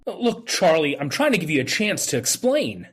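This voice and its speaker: A man playing a bewildered, earnest man insisting on his innocence in an old-time radio drama, with a husky voice. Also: fairly high pitch, big pitch swings, normal speed, a bit bright, exaggerated voice. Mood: accusing.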